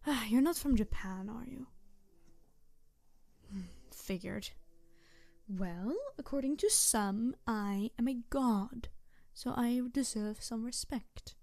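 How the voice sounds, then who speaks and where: A woman voicing a playful, mischievous tanuki spirit girl in a roleplay audio drama, teasing the listener in an excitable, rambling way. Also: fairly high pitch, takes it slowly, vocal fry, pauses often, no room sound. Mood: teasing, haughty. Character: playful, mischievous young-sounding tanuki trickster spirit girl, over two hundred.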